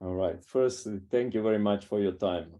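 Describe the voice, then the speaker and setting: A man from Italy speaks English with a clear Italian accent in a low, mellow voice. His talk is halting and full of "uh" and "um" as he reaches for words. He sounds like a coach in his thirties in a casual conversation. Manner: halting, many filler sounds, non-native phrasing. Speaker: strength and conditioning coach.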